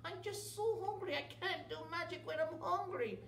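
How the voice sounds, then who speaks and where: High whiny little leprechaun. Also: male voice, moderate pace, gravelly, fairly bright tone, exaggerated voice. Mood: whiny pleading. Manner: whiny, pleading, high-voiced. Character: small scrawny male leprechaun, whiny, hungry and sly. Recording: roomy, distant camera mic, faint hum.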